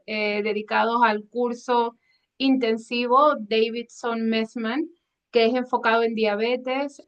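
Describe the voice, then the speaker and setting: Higher-voiced woman host on lo-fi audio. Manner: friendly, hesitant questions full of "eh". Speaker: podcast host. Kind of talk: hosted interview. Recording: dull lo-fi sound.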